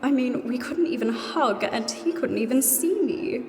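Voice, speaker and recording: A woman's voice: on the high side, moderate pace, darkish tone, a little hiss or hum. A woman playing a chatty, wry two-hundred-year-old ghost in love, in a comedy audio drama, with a ghostly reverb on her voice. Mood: embarrassed. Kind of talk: mock dating testimonial in comedy audio drama. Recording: long echoing reverb on the voice.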